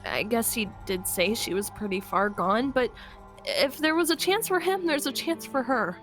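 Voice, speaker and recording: A woman's voice: a little high, expressive, moderate pace, a bit bright, faint music behind. A woman playing a guarded young survivor in an improvised roleplay, speaking hesitantly and defensively. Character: guarded young woman protecting her zombie mother.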